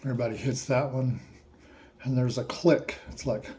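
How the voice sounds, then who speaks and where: A man slowly asking listeners a series of reflective questions, with playful asides in a surprised voice. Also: medium pitch, fairly even intonation, dark tone, a little hiss or hum. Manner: slow, repetitive questions with mock-surprised asides.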